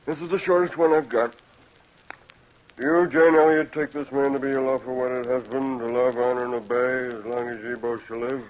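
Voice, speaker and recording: A man's voice: medium pitch, steady tone, normal speed, natural voice, poor audio. Male actor as folksy, genial judge, mid-range voice, slightly fussy, vintage radio drama. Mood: genial, officiating. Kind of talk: 1940s radio acting.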